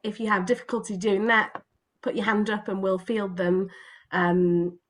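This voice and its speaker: Female host on a video call, a little croaky, halting delivery with frequent ums. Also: mid-range voice, little pitch movement. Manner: hesitant, many ums. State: slightly hoarse with a cough. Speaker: event host. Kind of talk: online event introduction.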